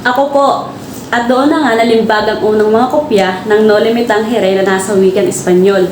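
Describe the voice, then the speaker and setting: Woman playing eager Filipino pupil, scripted recitation, medium pitch, roomy, faint noise. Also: steady tone, normal speed, a little mellow, natural voice. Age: young adult. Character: eager female student answering teacher. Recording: echoey room, faint noise.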